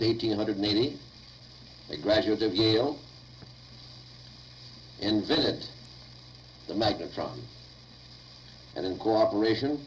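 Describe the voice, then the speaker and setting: A man dictating lecture notes aloud in a deliberate, formal way, recorded on 1950 reel-to-reel tape. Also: fairly low pitch, a little hiss or hum, rough recording.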